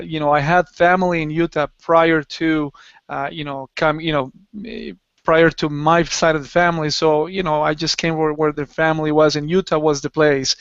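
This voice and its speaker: A man's voice: a little high, clean tone, no room sound. A man from Colombia, a guest on a video call, chatting casually and laughing between phrases. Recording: muffled lo-fi video call audio.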